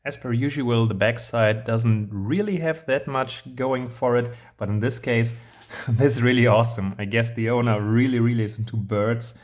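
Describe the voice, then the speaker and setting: A man with a hoarse, recovering voice, hosting a casual review of a building set, hesitant with many fillers but enthusiastic. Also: medium pitch, normal speed. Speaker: channel host reviewing building sets. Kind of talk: casual product review vlog.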